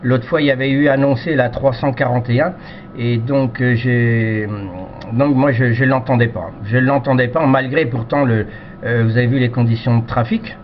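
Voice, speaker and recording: Halting Frenchman on a dull radio recording. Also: medium pitch, steady tone. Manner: halting, repetitive phrasing. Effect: radio transmission. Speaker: amateur radio operator. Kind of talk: casual radio contact chat. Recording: dull, noisy radio audio.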